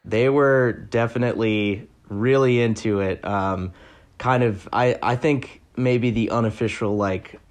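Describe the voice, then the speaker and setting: A man who is a reporter, answering interview questions in a loose, casual way, full of likes and uhs. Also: mid-range voice. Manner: loose, filler-heavy talk with many likes and uhs.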